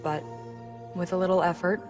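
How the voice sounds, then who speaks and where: An actress playing a weary, candid female engineer in a video game cutscene, talking in a measured, confiding way. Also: on the low side, level tone, music in the background, lightly acted. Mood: concerned, explanatory.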